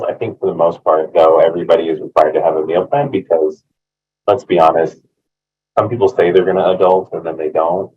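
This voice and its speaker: A man's voice: sits low, breathy edge. A man from a housing staff answering a question in a joking, informal way. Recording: distant tinny phone-like audio.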